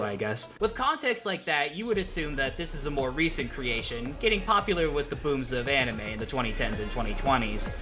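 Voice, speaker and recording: A man hosting a casual scripted video essay, with a joking, sweary, self-interrupting manner. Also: medium pitch.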